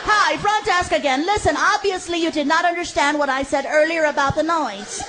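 A man's voice: very high-pitched, moderate pace, voice pushed up. A man voicing a polite young female hotel front desk clerk whose customer-service sweetness turns bossy. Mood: stern. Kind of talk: stand-up comedy storytelling. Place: comedy stage before a live crowd. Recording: live show with audience, noisy.

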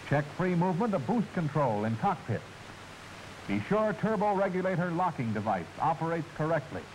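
An American man reads scripted instructional narration in the style of a 1940s military film. His voice sits fairly high and moves with lively intonation, formal and clearly enunciated. The old soundtrack carries a faint hiss.

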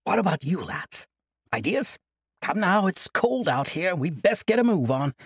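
Man voicing an old eccentric monk, jovial, sing-song, higher voice, dry close sound. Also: sing-song, normal speed, breathy edge, no echo, heavily acted. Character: eccentric cheerful old male monk, great master.

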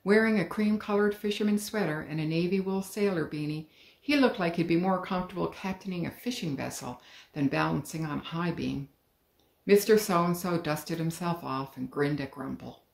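Middle-aged woman, low gravelly voice. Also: moderate pace. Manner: calm, clear storytelling with lively emphasis. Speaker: author. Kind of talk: reading her own children's book aloud. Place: living room at home. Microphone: webcam, head and shoulders. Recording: roomy living room.

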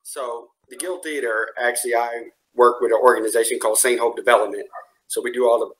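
A man's voice: medium pitch, fairly even intonation, fairly quick, fairly bright tone, poor audio. A young adult man, a comedian guest, chatting casually in an interview over a video call. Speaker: stand-up comedian and producer guest. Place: small room, video call.

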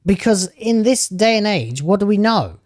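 A man's voice: sits high, sing-song, moderate pace, dry recording, studio quality. A man in his thirties streaming from home on a headset mic, reacting to video clips in casual, argumentative commentary. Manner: argumentative, talks back at the clips.

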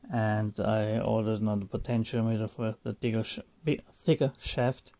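A man's voice: fairly low pitch, background noise. A man talking through his DIY build in a home workshop, thinking aloud with many fillers, restarts and some phrasing. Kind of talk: unscripted workshop walkthrough.

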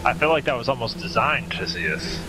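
A man's voice: medium pitch, brisk, music in the background, low-quality recording. A man talking casually with friends during a video game. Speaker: gamer playing with friends. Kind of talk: casual gameplay chat.

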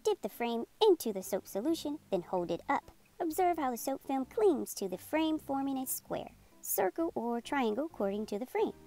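A woman narrating a children's read-aloud in an expressive, deliberate storytelling manner. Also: high voice. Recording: music behind the voice. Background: music.

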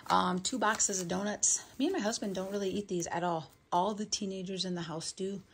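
A middle-aged woman with a husky, creaky voice at an average pitch. She chats casually in a rambling, matter-of-fact way, like a home vlogger showing what she bought. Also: steady tone, brisk. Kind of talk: casual chat, showing a grocery haul.

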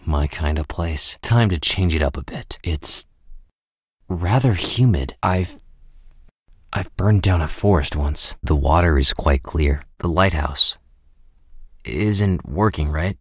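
A cool, world-weary American mercenary for a video game. The voice is very low and recorded dry and close, with no room around it. He speaks in short, understated, deadpan remarks, sounding cynical and unimpressed. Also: dry recording.

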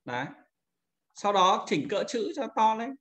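A man instructing in a screen-recorded software tutorial, talking informally and pointing things out step by step as he demonstrates. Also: high-pitched, very animated, a little slow. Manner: informal, repeats pointing words while demonstrating.

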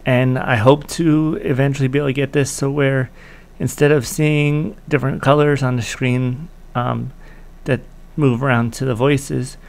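Casual male American host, forties, mid-pitched with vocal fry, unscripted and halting. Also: flat delivery, a little mellow. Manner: halting, thinking aloud. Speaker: online host of old-time radio shows. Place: small room indoors.

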